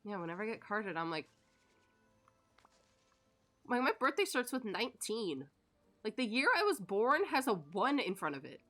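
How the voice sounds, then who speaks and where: A woman's voice: mid-range voice, very animated, normal speed, pauses often, a bit bright, faint music behind. A woman in her thirties, a streamer chatting casually while she plays. She tells stories in a loose, rambling way, laughs often and swears offhandedly. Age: 30s. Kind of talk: casual chat while gaming.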